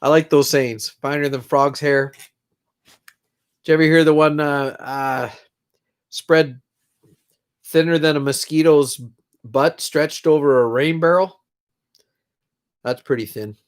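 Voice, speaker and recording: A man's voice: fairly high pitch, fairly even intonation, slow, long pauses. A middle-aged man who is congested, rambling casually on a live stream from his own room and greeting viewers by name. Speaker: livestream host.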